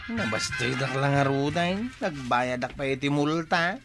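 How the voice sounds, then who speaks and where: A man's voice: mid-range voice, measured pace, loud music behind the voice, close to an everyday voice. An actor playing a sorry male villager, exclaiming plaintively with an Ilocano accent in a radio comedy. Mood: protesting. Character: sorry male villager who borrowed a motorbike. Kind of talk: radio comedy drama acting.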